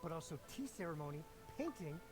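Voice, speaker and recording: A man's voice: on the high side, big pitch swings, quick pace, music in the background. A man voicing a candid, enthusiastic young reader in a video game. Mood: frank, keen. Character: candid young male reader craving cheap thrills. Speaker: game character. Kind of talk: scripted video game voice acting.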